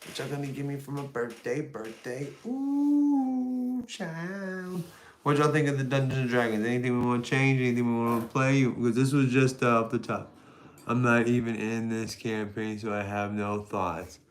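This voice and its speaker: A man's voice: medium pitch, moderate pace, slight background noise. A man in his forties hosting a live stream, chatting casually in a loose, joking, rambling way. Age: 40s. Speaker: stream host.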